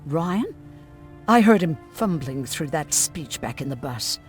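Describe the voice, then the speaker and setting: A woman's voice: low voice, sing-song, a little slow. A hardened woman medic who has survived too much, for a video game. She speaks in a matter-of-fact, bitter way, middle-aged and weary, with dry irony. Music plays behind her. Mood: resigned, wary. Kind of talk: video game drama acting.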